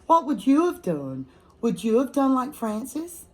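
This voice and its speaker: A woman with a fairly high voice reads a children's story aloud as a teacher would. She takes it very slowly and deliberately. She often turns to her young listeners with questions and asides. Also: big pitch swings, a little mellow, rough recording. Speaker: fourth grade teacher reading aloud to children. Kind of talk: expressive children's picture-book read-aloud with asides to listeners.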